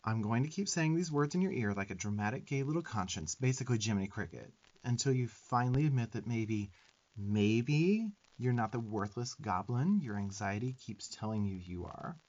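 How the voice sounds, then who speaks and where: Sassy young demon twink, acted by a man, swooping pitch. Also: lively intonation, normal speed, darkish tone, dull audio. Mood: earnest, affectionate. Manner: sassy, emphatic, profane tough-love delivery. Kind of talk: ASMR affirmation roleplay.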